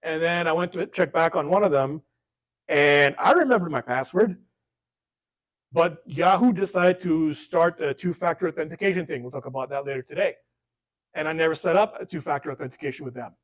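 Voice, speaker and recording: A man leads a relaxed class on phone safety, his voice a bit on the high side. He talks off the cuff, tosses in jokes and acts out little exchanges with gadgets. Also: fairly quick. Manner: conversational, joking asides, acts out dialogue. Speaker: workshop instructor. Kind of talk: informal classroom lesson.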